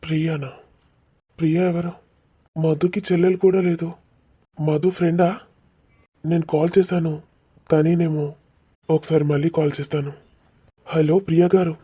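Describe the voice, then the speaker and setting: A man's voice: on the high side, little pitch movement, moderate pace, long pauses, dark tone, slightly rough. A man voicing an earnest, nervous young man in love in a cartoon love story.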